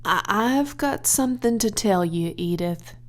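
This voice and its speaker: An earnest farmer man from a children's storybook, acted out by a woman reader. He is solemn and awestruck, speaking slowly and haltingly, with stammered starts. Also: medium pitch, expressive, lightly acted. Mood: shaken, solemn.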